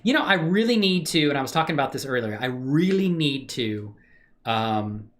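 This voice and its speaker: A woman's voice: rumbling low, big pitch swings, normal speed. A woman hosting a casual livestream, chatting to viewers in a friendly, exclamatory way. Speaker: live streamer hosting own channel. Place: indoors at a computer.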